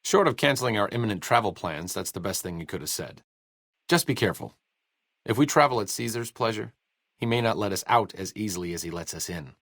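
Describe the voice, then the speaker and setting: A bookish, self-deprecating video game doctor, speaks in a low, closely recorded voice. His delivery is sardonic and erudite, rising and falling freely as he makes his dry understatements. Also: lively intonation, a little fast, fairly bright tone, no echo. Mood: resigned, cautioning. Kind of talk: video game character dialogue.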